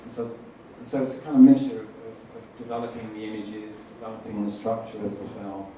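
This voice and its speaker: A man's voice: on the low side, fairly even intonation, fast talker. A man, a film director, answering audience questions at a festival screening and hesitating often. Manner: hesitant, many fillers and restarts. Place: cinema hall. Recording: echoey hall, distant mic, noisy.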